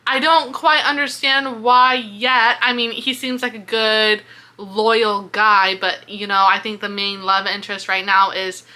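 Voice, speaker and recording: A woman chatting casually about a book, expressive, with plenty of slang and swearing. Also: medium pitch, unhurried. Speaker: book reviewer and vlogger.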